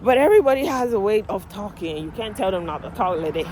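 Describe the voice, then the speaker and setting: A Nigerian woman talks into her phone as she walks down a street, her accent strong. She is animated and blunt and swears without a second thought, with clear traffic noise and cars around her. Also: medium pitch, fast talker. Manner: animated, outspoken, swears freely. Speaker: vlogger, medical assistant. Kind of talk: casual vlog chat while walking. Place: outdoors on a residential street. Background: cars and street ambience.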